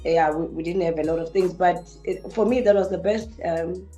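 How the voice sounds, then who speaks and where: A woman with a Venda accent and a deep voice, a musician recalling her village childhood as a guest on a radio show. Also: normal speed, faint music behind. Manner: relaxed, reflective, mixes English and Venda. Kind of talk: casual radio interview. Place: radio studio.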